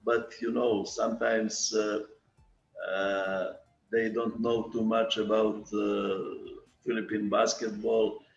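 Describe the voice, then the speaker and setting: Serbian-accented older coach with gravelly voice. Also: male voice, fairly low pitch, little pitch movement, reverberant, lo-fi sound. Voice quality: halting, filler-heavy non-native English, repeats "you know". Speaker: basketball coach. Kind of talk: video interview.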